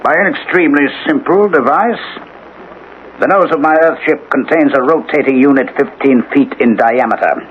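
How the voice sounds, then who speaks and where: An actor playing a cunning criminal inventor in a radio drama, with measured, formal, taunting diction. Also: male voice, mid-range voice, close to an everyday voice. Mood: proudly explaining. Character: cunning, menacing male criminal scientist and inventor. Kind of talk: 1980s radio drama acting. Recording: dull, noisy old radio broadcast recording.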